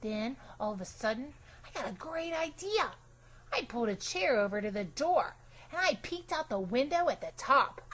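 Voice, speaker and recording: A woman voicing a chatty, imaginative, bossy little girl in an animated children's storybook read-aloud, with childish, emphatic phrasing. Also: high-pitched, normal speed, full character voice. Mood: chatty, scheming.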